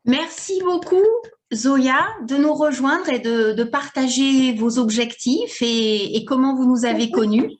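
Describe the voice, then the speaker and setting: A woman's voice: sits high, a little slow. A woman moderating an online meeting on a video call, welcoming participants with polite encouragement. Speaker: meeting host.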